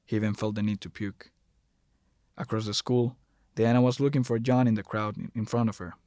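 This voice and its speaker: A man with a fairly low voice delivers scripted story narration for an audio drama. He reads as a narrator, telling the tale in a dramatic storytelling style. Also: steady tone, brisk, warm tone, no echo, clean studio sound.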